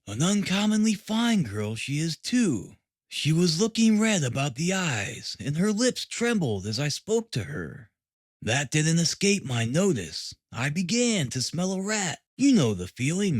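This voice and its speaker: A man's voice: on the high side, dry recording, studio quality, voice pushed up, heavily acted. A man voicing a smug, boastful police detective in an audiobook, chatty and emphatic. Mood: confiding. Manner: self-satisfied, emphatic, chatty.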